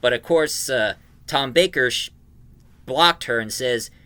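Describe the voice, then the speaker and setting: A man giving an informal, emphatic review of an audio drama, recapping it in a halting way. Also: medium pitch, bright, forceful tone, clean tone, dry recording.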